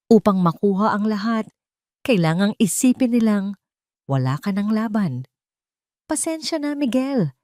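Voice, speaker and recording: A woman's voice: deep voice, mellow, dry recording, clean studio sound. A woman narrator reading a scripted dramatic story.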